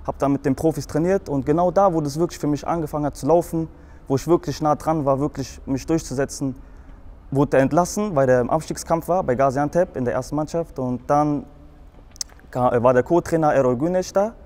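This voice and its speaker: A man's voice: on the high side, brisk, darkish tone. A young man from Frankfurt with a Hessian accent, telling his own story in a rambling way, with many fillers and restarts. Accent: Hessian German. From Germany, Frankfurt. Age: young adult. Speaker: guest in a show video.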